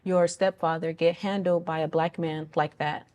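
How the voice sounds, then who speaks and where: A woman interviewer with a somewhat low voice. She sets up her questions at a measured pace, pausing on fillers as she goes. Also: level tone, darkish tone.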